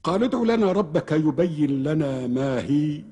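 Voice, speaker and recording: Husky man chanting Quran. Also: a little high, expressive, warm tone. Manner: chanted, drawn-out tajwid. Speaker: Quran reciter. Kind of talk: melodic Quran recitation.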